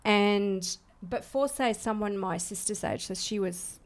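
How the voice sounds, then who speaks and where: A woman's voice: medium pitch, a little slow. A woman with an Australian accent, a songwriting coach speaking reflectively with many fillers and restarts in a casual interview. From Scotland.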